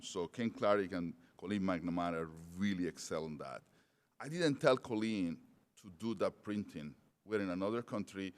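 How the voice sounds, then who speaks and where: Deep-voiced man with a non-native accent. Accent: non-native English. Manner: hesitant, many fillers and restarts. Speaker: conference speaker. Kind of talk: conference presentation. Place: large conference hall. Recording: long hall reverb.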